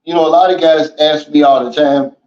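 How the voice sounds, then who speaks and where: Easygoing male livestream host with a slightly high voice, chatty and folksy; roomy, dull lo-fi sound. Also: brisk. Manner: relaxed, folksy, often says "man" and "you know". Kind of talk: casual livestream chat, reading viewer comments.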